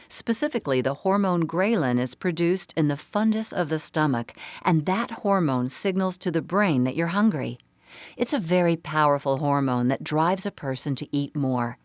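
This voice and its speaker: Low-voiced woman presenting, dry sound. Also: expressive, moderate pace, dry recording. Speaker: presenter of a bariatric surgery program. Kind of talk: scripted narration of a medical seminar.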